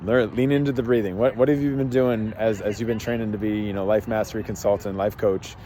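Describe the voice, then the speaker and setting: A man with a mellow, dark voice tells a story in a loose, halting way, circling back and restarting his sentences. He speaks outdoors, and faint street traffic hums behind him. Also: fairly low pitch, fairly even intonation. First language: English. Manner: rambling, many fillers and restarts. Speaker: podcast host and life coach. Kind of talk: casual storytelling monologue.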